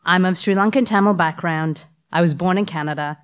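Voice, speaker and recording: A woman's voice: on the low side, brisk, clean tone. A woman, who has the flu, telling a personal story with wry humor to a live audience. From Australia. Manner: wry, self-deprecating humor.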